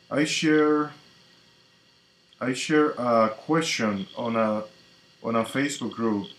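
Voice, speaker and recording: Soft-spoken man with a Dominican Spanish accent. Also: medium pitch. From Dominican Republic. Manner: slow, soft-spoken, reflective, casual. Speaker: tarot reader. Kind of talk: live-stream tarot reading. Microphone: computer mic on a live stream. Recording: echoey room with faint noise.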